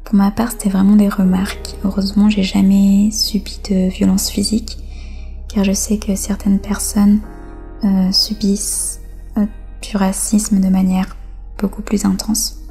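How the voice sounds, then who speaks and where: A woman from France speaks as an interview guest, sharing personal testimony. Her voice is gravelly with fry and stays fairly even. She phrases things reflectively, with hesitant pauses, over faint music. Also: medium pitch.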